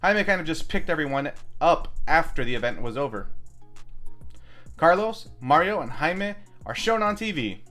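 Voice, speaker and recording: A man's voice: a little high, a bit bright. A man hosting a film review, reading scripted narration with playful, wry asides. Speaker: host of a film review show.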